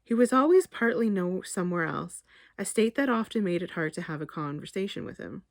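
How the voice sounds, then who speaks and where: A woman author reading her short story aloud in a measured, expressive literary narration. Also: mid-range voice, vocal fry, no room sound, clean studio sound.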